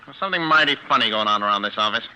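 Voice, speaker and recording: An actor playing a suspicious, short-tempered law partner with an accusing, sneering manner in a 1940s radio drama. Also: male voice, on the low side, lively intonation, very fast, bright, forceful tone, a little hiss or hum. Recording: dull 1940s radio recording.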